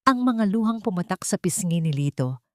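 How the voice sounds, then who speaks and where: Female audiobook narrator, slightly low register, deliberate scripted drama read, very dry recording. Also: animated, no echo.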